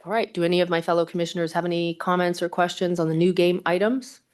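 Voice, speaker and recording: A woman's voice: lowish voice, level tone, fast talker, darkish tone. A woman chairing a formal public meeting in a procedural, courteous manner. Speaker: commission chair.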